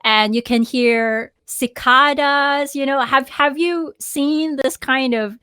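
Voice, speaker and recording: A woman's voice: high voice, takes it slowly, pushed and bright, no room sound. A woman from the Philippines co-hosting a live podcast, chatty and giggly. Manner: chatty, giggly, does silly sound effects. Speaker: podcast co-host. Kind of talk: casual live podcast chat.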